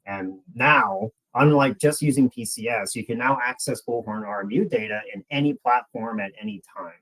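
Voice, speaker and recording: A man's voice: mid-range voice, moderate pace, fairly bright tone, rough recording. A man giving a webinar presentation as a product presenter, explaining in a conversational way and addressing the audience directly.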